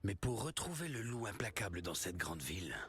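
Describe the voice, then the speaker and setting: Wry adventurer narrator, low pitch, very lively melody, dramatic pauses, video game storytelling. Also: male voice. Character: wry storytelling male adventurer recounting a tale.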